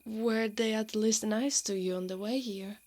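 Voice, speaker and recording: Woman acting a slow, whispery female knight. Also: medium pitch, fairly even intonation, lightly acted. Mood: reflective, respectful. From Italy. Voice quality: soft, often breathy and whispery. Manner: slow, intimate, hushed delivery. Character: brave, strong, protective female knight, tenderly teasing. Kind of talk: ASMR roleplay, intimate scripted acting.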